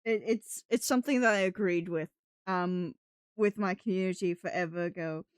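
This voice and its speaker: A woman's voice: on the low side, moderate pace, smooth, no echo, clean studio sound. A woman from New England chatting casually on a livestream in a put-on British accent, rambling in a chatty, giggly way. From New England, United States. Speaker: live streamer. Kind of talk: casual livestream chat with viewers.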